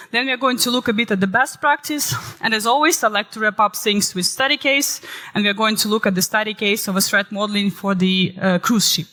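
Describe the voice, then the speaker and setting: A woman, recovering from illness and saving her voice, giving a lively conference talk that shifts into dramatic storytelling. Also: medium pitch. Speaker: conference speaker. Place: conference hall. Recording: reverberant hall.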